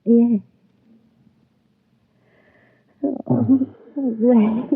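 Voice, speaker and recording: A woman's voice: a little high, steady tone, extremely slow, slightly rough, exaggerated voice. An actress playing a resourceful, tender young woman space traveler in a 1950s radio drama. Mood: tender, anxious. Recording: dry, tinny 1950s radio broadcast.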